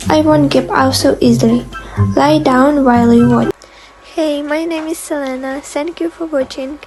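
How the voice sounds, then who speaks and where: A woman's voice: high voice, steady tone, moderate pace. A woman performing a dramatic comic dub as narrator and voice actor. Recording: music behind the voice.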